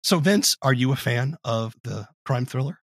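A man's voice: mid-range voice, animated, normal speed, a bit bright, no echo, clean studio sound. A man hosting an interview, conversationally asking questions and commenting. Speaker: interview host.

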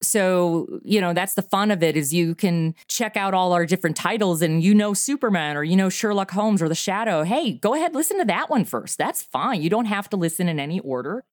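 A woman's voice: lowish voice, no echo. Middle-aged woman, dry close sound. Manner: animated, laughs often, quick asides. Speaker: podcast creator and guest. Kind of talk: casual interview chat.

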